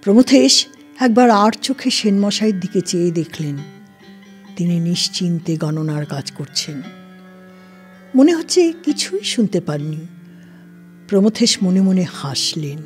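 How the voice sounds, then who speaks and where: Woman reading a literary story, real slow and deliberate, formal, gentle string music under. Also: on the low side, sing-song. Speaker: story narrator. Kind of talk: scripted literary narration. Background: soft plucked-string music.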